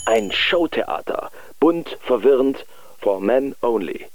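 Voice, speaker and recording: A keen-eyed artist in a literary radio drama, delivering clipped, telegram-like observations. The voice sits at a middle pitch with a creaky edge, and there is noise behind it. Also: male voice, animated, slow. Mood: watchful, wry. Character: male German artist recording sharp impressions of bustling New York.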